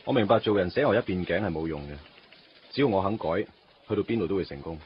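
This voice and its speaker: A man's voice: sits low, expressive, quick pace, a little hiss or hum. A man playing a young man torn over his first love, narrating his thoughts wryly in a radio drama. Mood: resolute. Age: young adult. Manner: wry inner monologue. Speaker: lead character and narrator. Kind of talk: Cantonese radio drama acting. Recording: dull lo-fi radio recording.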